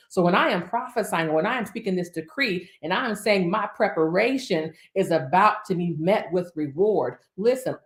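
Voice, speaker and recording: A woman in her fifties, a minister, preaching a decree to online viewers in rhythmic repeated lists with chatty asides. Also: lowish voice. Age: 50s. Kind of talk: livestream prophetic decree. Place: office. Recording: echoey room.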